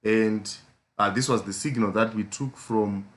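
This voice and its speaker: A man with a hoarse voice from a flu, hosting a casual live trading stream with a South African accent, rambling with lots of fillers and repeated pleas. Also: on the low side, steady tone, a little slow. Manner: repetitive pleading, frequent fillers.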